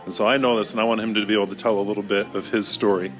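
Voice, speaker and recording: A man's voice: on the low side, fairly even intonation, racing, soft background music. A man hosting a casual interview in a conversational style, putting questions to a guest. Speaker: school podcast host and interviewer.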